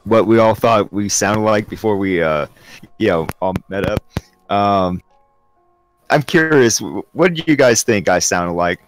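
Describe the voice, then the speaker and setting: A man from the American Midwest speaks in a slow, unhurried drawl, pausing often and chuckling between phrases. His voice sits somewhat low, with faint music behind him. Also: rough recording. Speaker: stream participant in group voice chat. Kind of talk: casual chat.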